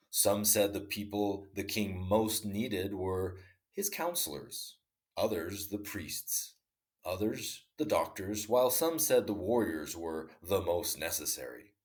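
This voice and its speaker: A man reads a classic tale aloud with an expressive, dramatic delivery. His voice is on the low side. His pitch rises and falls widely from phrase to phrase. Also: a little slow. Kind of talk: read-aloud story narration.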